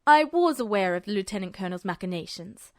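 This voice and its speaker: A woman playing a calm, polite, calculating male army officer with quiet menace in a story reading. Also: medium pitch, quick pace, dry recording, studio quality, pitched below the performer's own voice, close to an everyday voice. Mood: calm, detached.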